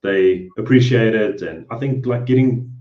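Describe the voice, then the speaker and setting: A man's voice: fairly low pitch, moderate pace, mellow, roomy sound, muffled recording. A man with a South African accent, talking as a guest in a casual interview, hesitant and often trailing off with "you know". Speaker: guest, cleaning business owner. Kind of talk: casual video call interview.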